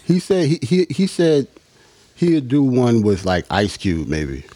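A man in casual podcast banter, slangy and animated, stretching out words for emphasis. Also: medium pitch, unhurried, no echo.

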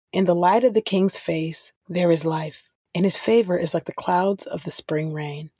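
A woman reads scripture as a podcast host, in a measured style. Her voice sits fairly low and has a husky grain. The sound is very dry and close. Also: darkish tone, no room sound.

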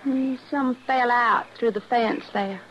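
A woman's voice: fairly high pitch, steady tone, takes it slowly, fairly bright tone. An actress playing a defiant young cowgirl in a radio western, brash and prickly. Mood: prickly, sullen. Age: young adult. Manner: brash, prickly, folksy rustic talk. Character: defiant, feisty young Texas panhandle cowgirl. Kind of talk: 1950s radio western acting. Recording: noisy muffled old radio recording.

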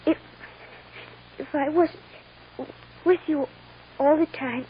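A small, bewildered American girl speaks in a high, childlike voice on a muffled, faintly noisy old radio recording. She is plaintive and halting, stumbling over words as she seeks her mother's love. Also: steady tone. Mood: bewildered, hesitant. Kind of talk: 1940s-50s American radio drama acting.

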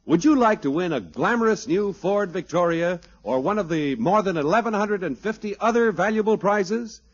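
A man announcing in a scripted, polished, salesmanlike 1950s radio style. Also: fairly high pitch. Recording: muffled old radio broadcast, music behind. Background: music.

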